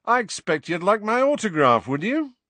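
Brief: narrator as vain grinning wizard, exuberant, high and lively. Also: male voice, normal speed, no room sound, full character voice. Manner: exuberant, showy. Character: exuberant vain middle-aged wizard, vacant and cheerful.

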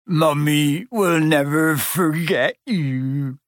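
A man voicing a big, soft-hearted, tearful gamekeeper who puffs and speaks in a muffled voice. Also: fairly high pitch, expressive, measured pace, gravelly, slightly rough, no room sound, clean studio sound, exaggerated voice. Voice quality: puffing, muffled.

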